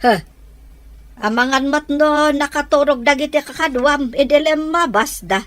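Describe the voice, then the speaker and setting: A woman's voice: sits high, measured pace, dry recording. An actress with an Ilocano Filipino accent playing a suspicious woman questioning others in an audio drama. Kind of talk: Ilocano radio-style drama acting.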